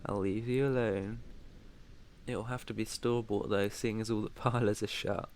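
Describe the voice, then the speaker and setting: A British man playing a protective, haunted yet tender vampire master in an intimate ASMR roleplay, speaking softly, earnestly and deliberately. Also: lowish voice, steady tone, lightly acted. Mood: fond, teasing.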